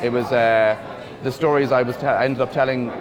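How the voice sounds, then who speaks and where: An Irish man gives a reflective festival interview in an unhurried voice with a middle pitch. He restarts phrases and repeats words as he thinks. A loud crowd murmurs behind him. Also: fairly even intonation. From Ireland. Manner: thoughtful, repeats words while searching for phrasing. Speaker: film director. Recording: busy crowd noise behind.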